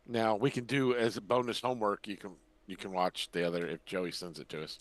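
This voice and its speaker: An older man hosting a podcast in casual chat, rambling and pausing as he recalls things. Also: lowish voice, steady tone, no room sound. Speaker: podcast host.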